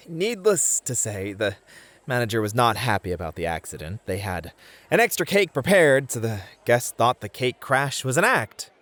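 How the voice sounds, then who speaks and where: An actor playing a beefy, experienced club host, a flirty and politely teasing charmer in a scripted audio roleplay. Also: male voice, mid-range voice, big pitch swings, moderate pace, fairly bright tone. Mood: teasing, suave.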